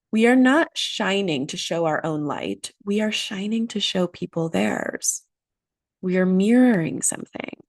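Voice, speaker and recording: A woman's voice: medium pitch, no room sound. A woman hosting her own podcast, talking earnestly and with emphasis while nasal from a cold. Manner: earnest, emphatic, repeats key phrases. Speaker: podcast host. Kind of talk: solo motivational podcast talk.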